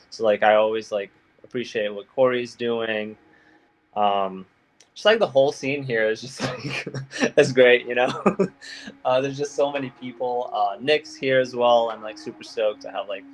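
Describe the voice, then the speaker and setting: A man's voice: mid-range voice, steady tone, normal speed, poor audio. Laid-back young Belgian-born man. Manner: laid-back, many fillers and "like". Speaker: guest skater. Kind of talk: casual interview chat.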